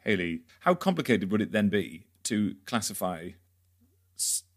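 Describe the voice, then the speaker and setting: A man's voice: fairly low pitch, big pitch swings, measured pace. A man hosting a music industry podcast, reading a subscription plug and asking questions casually. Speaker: podcast host. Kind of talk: podcast interview and ad read.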